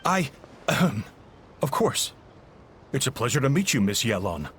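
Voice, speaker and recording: A man's voice: medium pitch, sing-song, normal speed, a bit bright. A flustered male ship owner in a video game. He stammers and hesitates, his voice jumping around. A faint hiss lies beneath.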